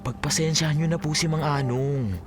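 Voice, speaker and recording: A man's voice: a little high, fairly even intonation, moderate pace, lightly acted. Man acting patient young Filipino farmer son, background music. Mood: gentle, conciliatory. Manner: polite, apologetic. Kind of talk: Filipino radio drama acting.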